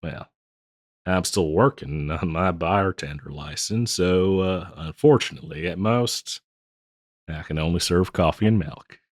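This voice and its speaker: Guy doing an old west bartender, real low voice, folksy n teasing, rambles on, close dry roleplay audio. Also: unhurried, no room sound. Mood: wry, reminiscing. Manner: folksy, rambling, chuckling drawl with hesitations. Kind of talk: intimate scripted roleplay audio, addressed to listener.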